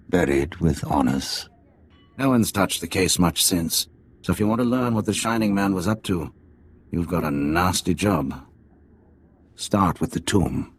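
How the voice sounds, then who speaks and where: A man playing a jaded middle-aged undercover spy in a video game, with a gravelly, husky voice and a sardonic, deliberate manner. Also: low voice, full character voice. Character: jaded male Imperial spy posing as alien tea house owner, middle-aged father. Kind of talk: cinematic video game dialogue.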